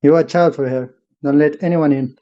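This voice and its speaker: A slightly dull recording carries a man as a protective teenage boy in an audio drama. The voice is fairly high and very mellow, speaking with urgency. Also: steady tone, moderate pace, natural voice. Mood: urgent.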